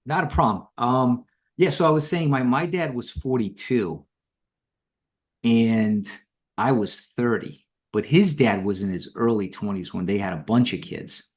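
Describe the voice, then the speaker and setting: A man's voice: medium pitch, measured pace. A middle-aged man, a guest from Romania, talking frankly and easily on a remote call, often chuckling. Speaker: podcast guest, copywriter. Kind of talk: casual conversation.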